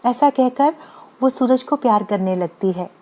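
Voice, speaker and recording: Female storyteller for bedtime tales, high pitch, very mellow tone, scripted narration. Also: measured pace.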